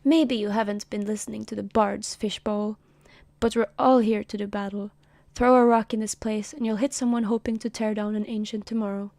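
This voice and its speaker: A woman's voice: mid-range voice, steady tone, normal speed, hardly any pauses, a little mellow, no room sound, natural voice. A woman playing a brash, cocky male god. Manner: cocky, wisecracking. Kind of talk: comic read aloud on stream.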